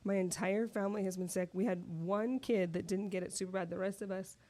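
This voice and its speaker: A woman with a deep voice, co-hosting a casual podcast chat, giggling often and sounding worn out from the flu. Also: fairly even intonation, a little fast, mellow. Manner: giggly, chatty. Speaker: podcast co-host.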